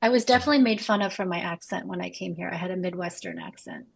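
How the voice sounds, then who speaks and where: A woman's voice: fairly low pitch, very fast, vocal fry. A middle-aged woman from Wisconsin with an American accent, telling personal stories casually as a podcast guest. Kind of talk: casual conversation, personal storytelling.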